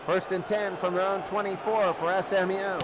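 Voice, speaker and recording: A man calling football play by play in short, clipped, excitable phrases. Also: high voice, normal speed. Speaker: play-by-play sports commentator. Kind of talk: live American football commentary. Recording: noisy crowd bed behind commentary.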